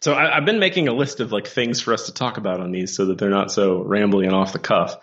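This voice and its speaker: A man's voice: lowish voice, fast talker, a bit bright. A man chatting casually as a co-host, joking and rambling, on a dull lo-fi recording. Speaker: co-host, comic book writer. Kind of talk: casual chat between co-hosts.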